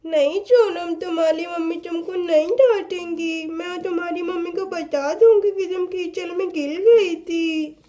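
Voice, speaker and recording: Woman as a fretful, very high-voiced little schoolgirl. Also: steady tone, measured pace, few pauses, warm tone. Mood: warning. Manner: fretful, warning. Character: cautious, tattling little schoolgirl friend.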